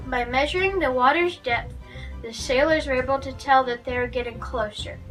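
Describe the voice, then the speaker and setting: A woman's voice: sits high, moderate pace, fairly bright tone. A woman reading a Bible story aloud for children as a guest narrator. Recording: distant microphone, music underneath.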